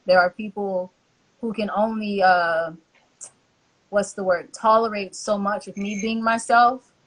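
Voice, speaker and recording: A woman hosting a casual live-stream chat, telling animated stories and acting out quotes. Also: mid-range voice, unhurried, rough recording. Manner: lively storyteller who acts out quotes. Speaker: host, church social media helper. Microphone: live-stream call.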